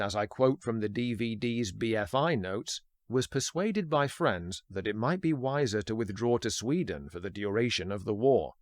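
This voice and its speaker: A man hosting a podcast, reading a scripted film history narration in a measured, formal way. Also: on the low side, dry recording, clean studio sound. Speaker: podcast host.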